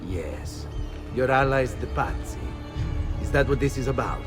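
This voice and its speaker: An actor playing a stern, dignified, commanding Renaissance ruler in a video game. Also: male voice, mid-range voice, animated, unhurried, close to an everyday voice. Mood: accusing. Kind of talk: video game cutscene acting. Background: music.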